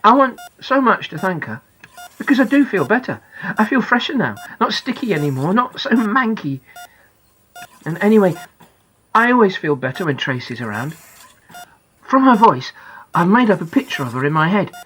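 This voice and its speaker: A woman's voice: medium pitch, sing-song, normal speed, fairly bright tone, poor audio. A woman playing a candid young boy who narrates his thoughts in a first-person audiobook, in plain, childlike speech. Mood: wistful, chatty.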